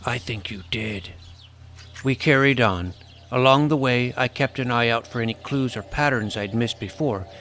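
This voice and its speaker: A man's voice: medium pitch, fairly bright tone, a little hiss or hum, lightly acted. A man playing an earnest, awkward boy hero in an audio drama, casual and boyish as he tells his adventure. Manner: casual, boyish, hesitant phrasing. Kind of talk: first-person scripted narration and acted dialogue.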